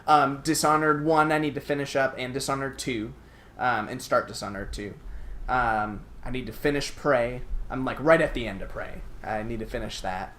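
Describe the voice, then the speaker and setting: A man's voice: mid-range voice, steady tone, normal speed, a bit bright. A man co-hosting a podcast in casual conversation about video games. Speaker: podcast co-host. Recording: light background noise.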